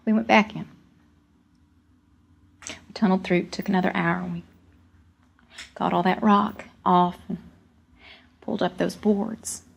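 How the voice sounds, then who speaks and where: A woman playing a plainspoken young coal miner, telling a story in halting, folksy rural speech with repeated words. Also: lowish voice, steady tone, vocal fry, husky, highs cut off, heavily acted. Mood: weary, grave. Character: young coal miner recalling a deadly cave-in, plainspoken, earnest. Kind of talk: dramatic first-person storytelling monologue.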